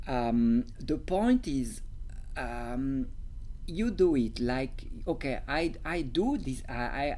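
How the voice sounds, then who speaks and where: Slow, hesitant Swiss French speaker. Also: medium pitch, dark tone, a little hiss or hum, rough recording. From Switzerland. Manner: hesitant, searching for English words with many fillers and restarts. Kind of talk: casual talk sharing creative tips.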